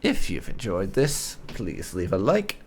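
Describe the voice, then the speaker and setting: A man's voice: low voice, huge pitch swings, measured pace, slight background noise. A man giving casual let's play commentary as a gaming host, musing aloud with wry asides and some roleplay.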